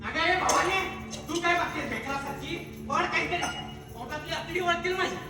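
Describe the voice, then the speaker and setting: A grumbling miserly old priest. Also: male voice, very high-pitched, moderate pace. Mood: scolding. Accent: Marathi. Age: young adult. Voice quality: coarse, grumbling, abusive. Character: bitter miserly old priest husband, scolding. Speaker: stage actor. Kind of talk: live stage acting. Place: large theatre stage. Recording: echoey hall from far off with music.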